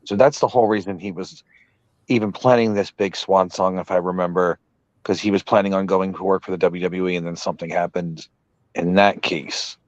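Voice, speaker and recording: A man's voice: low voice, fairly even intonation, a little fast, no echo, muffled recording. A man co-hosting a podcast who is losing his voice, hedging his recollections as he goes. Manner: hedging, repeatedly unsure of his memory. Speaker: podcast co-host. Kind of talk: casual podcast chat.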